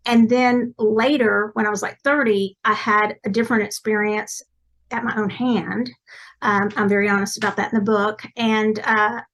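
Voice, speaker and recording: Guest: chatty East Texas woman, somewhat high voice, rambling, self-correcting talk. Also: fairly bright tone. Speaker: podcast guest, psychic medium. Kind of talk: casual interview chat.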